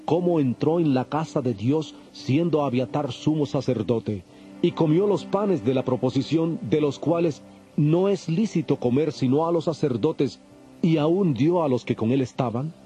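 A man playing a calm, authoritative holy teacher, solemn and measured, in a dramatized audio Bible. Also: a little high, lightly acted. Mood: solemn teaching. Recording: dull lo-fi, faint music behind.

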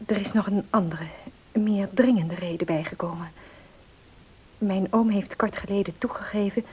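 Radio drama casting: actress for troubled young woman, polite and hesitant, formal diction, husky, breathy voice. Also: medium pitch, a little mellow, close to an everyday voice. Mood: troubled, pleading. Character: earnest, troubled young orphaned woman seeking help. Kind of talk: Dutch radio play acting.

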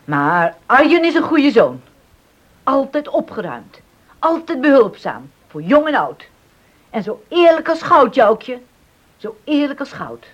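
A woman's voice: sits high, sing-song, a little slow, highs cut off, full character voice. An actress playing a blunt, devout, protective middle-aged mother in a Dutch radio drama, speaking emphatically and homely. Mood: firm, proud. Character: plain-spoken, devout mother, defiant and protective.

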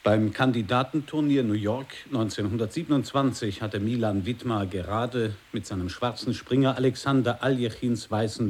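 A man narrating an experimental radio play in a calm, measured literary style. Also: medium pitch, slightly rough.

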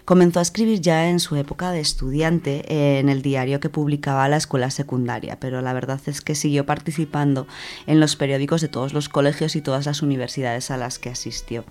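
A woman's voice: sits low, fairly even intonation, a little fast, darkish tone. A woman with an accent from Spain gives an informal radio talk in a conversational way, repeating words as she goes. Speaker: radio book-segment contributor. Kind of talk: informal spoken presentation.

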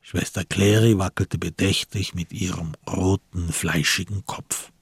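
A man narrates a literary radio drama slowly and deliberately. His voice is low and very husky with gravel in it, captured dry and close in a studio. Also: fairly even intonation, dry recording.

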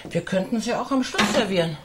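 A woman's voice: medium pitch, animated, fast, noisy background, close to an everyday voice. An actress playing a whimsical, argumentative woman who philosophizes in a wordy, declamatory way in a German radio drama. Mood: wry, playful.

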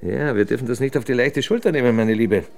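An actor playing a pedantic, ironic criminal investigator in a German radio drama, lecturing with smug pomposity. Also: male voice, mid-range voice, fast, vocal fry, darkish tone, lightly acted. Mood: smug, explaining. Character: wry, pedantic male criminal investigator, smugly theorizing.

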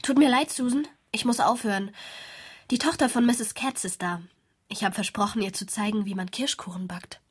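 Actress as an earnest, breathy teenage girl. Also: medium pitch, moderate pace, close to an everyday voice. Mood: apologetic. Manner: confiding, earnest. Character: sixteen-year-old girl, dutiful, earnest, slightly defensive. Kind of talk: radio drama dialogue, phone chat.

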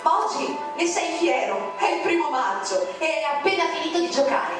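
Woman, high voice, declamatory poetry reader, far off in a booming room. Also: big pitch swings, moderate pace. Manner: declamatory, with sharp swings in pitch. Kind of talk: dramatic poetry recitation. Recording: very echoey, distant, with music. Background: music.

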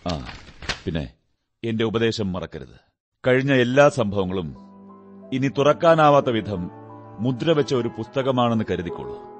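Radio drama detective male actor: observant, condescending, deliberate and instructive, with animated, swooping intonation. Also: medium pitch, lightly acted. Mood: businesslike, advising.

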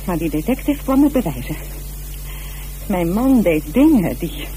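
A woman's voice: fairly low pitch, very animated, unhurried, warm tone, a little hiss or hum, rough recording. An actress playing a defensive, self-righteous wife and mother, in radio drama style. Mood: agitated, self-righteous. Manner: haughty, insistent, breaking into agitated self-justification.

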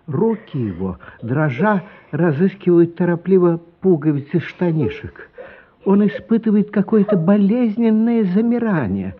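A man giving a dramatic, scripted literary narration. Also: high-pitched. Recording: dull lo-fi radio, music behind. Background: music.

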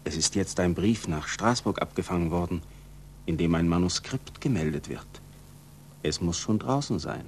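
An actor playing a gossiping, deferential servant in a 1940s radio play. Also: male voice, low-pitched, normal speed, a little mellow. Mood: secretive. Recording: noisy 1940s radio recording.

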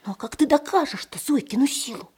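A woman playing an excitable, sceptical young schoolboy sidekick in a Soviet children's radio play, exclaiming in disbelief. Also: sits high, fast talker. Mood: incredulous. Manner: exclamatory, incredulous.

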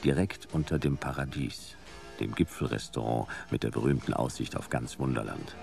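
Very deep, gravelly, laconic detective. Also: male voice, normal speed, faint music behind, heavily acted. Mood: wry, reflective. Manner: dry, clipped, deadpan. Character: laconic, world-weary male private detective narrating his case. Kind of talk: noir radio play narration and dialogue.

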